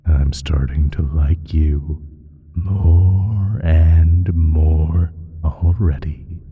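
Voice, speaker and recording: Extremely deep, slow ancient evil creature. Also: male voice, steady tone, narrow, tinny audio, full character voice. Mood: amused, scheming. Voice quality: very deep, husky, breathy, half-whispered. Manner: slow, drawn-out, teasing, savoring pauses. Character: ancient evil creature, male, sly, taunting, playfully menacing. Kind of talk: scripted audio roleplay monologue.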